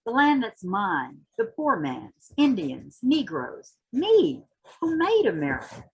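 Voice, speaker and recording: A woman giving a dramatic, impassioned poetry reading, with emphatic, deliberate phrasing and a husky voice. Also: on the high side, wildly swooping pitch, dull audio. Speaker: reader at a community literary read-in. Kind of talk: introduction and dramatic poetry recitation.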